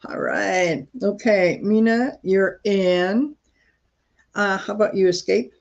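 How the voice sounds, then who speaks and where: A woman from Croatia hosting a casual live stream, talking in a rambling, halting way with many fillers and restarts. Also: medium pitch, vocal fry, lo-fi sound.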